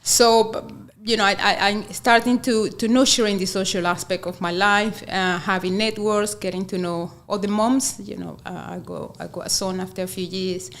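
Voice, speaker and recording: Venezuelan woman speaking earnest, halting English. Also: mid-range voice, fairly even intonation. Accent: Venezuelan Spanish. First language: Spanish. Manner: earnest, repeats words while searching for English. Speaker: community sports program worker. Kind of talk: radio studio interview.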